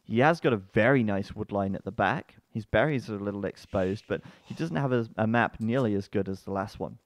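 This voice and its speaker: Male esports caster, lowish voice, relaxed chatter with sudden excited shouts. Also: a little fast. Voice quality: bassy-sounding voice. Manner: chatty, breaks into excited outbursts. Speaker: esports commentator. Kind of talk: live game commentary.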